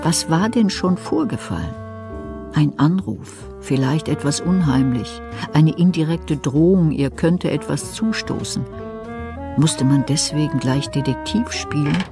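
A woman's voice: deep voice, lively intonation, measured pace, music in the background. A woman narrating a German crime audio drama in a scripted storytelling style.

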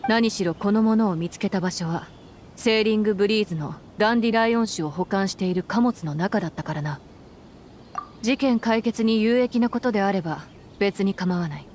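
A woman's voice: medium pitch, a little fast, darkish tone, a little hiss or hum, lightly acted. A woman playing a stern female military commander in a Japanese game, formal, curt and authoritative. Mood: formal, firm.

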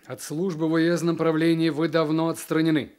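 An actor playing a stern, decisive district official, authoritative and clipped, in a radio play. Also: male voice, a little high, completely flat delivery, a little fast, a little mellow, clean tone, close to an everyday voice. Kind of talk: Soviet radio play acting.

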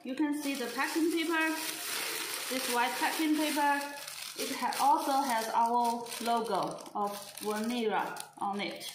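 Woman doing a slow product walkthrough, fairly high voice, echoey room, faint hiss. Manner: slow, halting, simple phrasing with many restarts. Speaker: brush company representative. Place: indoor showroom at a marble table.

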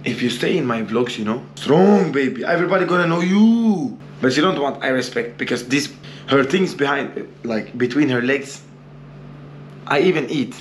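A young man with a Brazilian accent talks straight into a camera he holds at arm's length in a small hotel room. He speaks loose, boastful, broken English, repeating his words at an ordinary pitch. Faint noise sits underneath. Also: lively intonation, normal speed. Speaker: travel vlogger. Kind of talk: casual vlog talk to camera. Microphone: camera held at arm's length.

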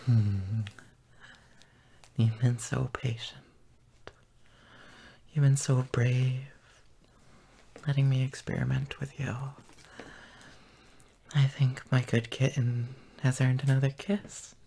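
A man in an intimate boyfriend roleplay, half-whispering tenderly and with gentle dominance, with soft hums, close to the microphone. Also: medium pitch, steady tone, slow pace, gravelly, long pauses, a little hiss or hum. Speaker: audio roleplay performer.

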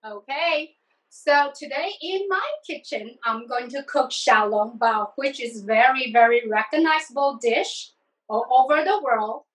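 A woman's voice: high voice, unhurried. A woman with a Taiwanese accent presenting a cooking demonstration. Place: home kitchen. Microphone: phone a few feet away. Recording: slightly distant mic.

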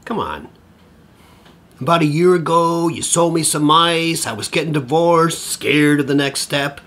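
An actor playing an eager, rambling, over-familiar middle-aged divorced dad in an audio drama. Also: male voice, fairly high pitch, measured pace. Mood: chummy, flustered. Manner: stammering, over-familiar, excitable.

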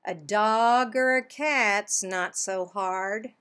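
A woman in her sixties reading a children's picture book aloud, expressively, with drawn-out words and pauses. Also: mid-range voice, fairly bright tone. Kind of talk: children's storybook read-aloud. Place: small home office, indoors.

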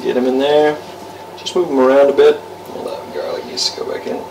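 A man's voice: medium pitch, level tone, a little fast, gravelly, darkish tone, a little hiss or hum. A young man casually talking through cooking a roast in his home kitchen, rambling with lots of fillers. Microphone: camera mic from across the room. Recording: roomy, distant camera sound.

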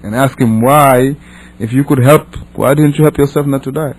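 A man's voice: medium pitch, fairly even intonation, normal speed, poor audio. A man from Senegal, a non-native English speaker, teaches a religious class as an imam, reading and explaining with emphatic repetition and rhetorical questions. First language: not English. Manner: emphatic, repeats and rephrases, asks rhetorical questions.

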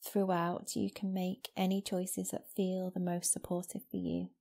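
A woman guiding a meditation as a yoga nidra teacher, speaking slowly and gently in a soft, soothing voice with long pauses. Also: fairly low pitch, little pitch movement, gravelly. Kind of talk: guided meditation. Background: new-age music.

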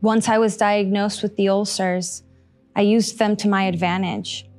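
A woman's voice: mid-range voice, steady tone, darkish tone. Woman in her 30s, heartfelt stage monologue, handheld mic, faint music underneath. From Costa Rica. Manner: slow, earnest, heartfelt delivery with pauses. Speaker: storyteller on stage. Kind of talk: personal story monologue. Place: small stage in front of seated audience.

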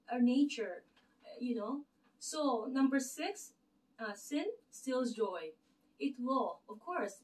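A middle-aged woman with a Filipino accent, giving an earnest, rambling faith talk to camera with many fillers and restarts in her living room. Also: fairly high pitch. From Philippines. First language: Tagalog. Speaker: channel host. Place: living room with a lit Christmas tree. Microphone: camera across the room. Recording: distant camera mic in a room.

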